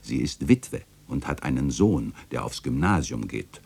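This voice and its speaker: An actor playing an ageing, fond, bookish chronicler, narrating a literary radio play in a reflective, lyrical, tender way. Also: male voice, a bass voice, sing-song, measured pace, vocal fry, a little mellow, breathy edge, heavily acted. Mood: fond, lively.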